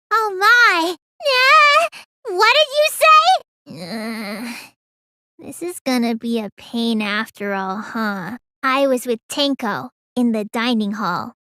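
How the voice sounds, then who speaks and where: A woman voicing a petulant, proud teenage girl mage for an English video game, with a childlike, listless manner that can turn excitable. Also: sits high, no echo, clean studio sound, exaggerated voice. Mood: alarmed, angry, then listless, grumbling. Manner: childlike, listless drawl with sudden excitable bursts.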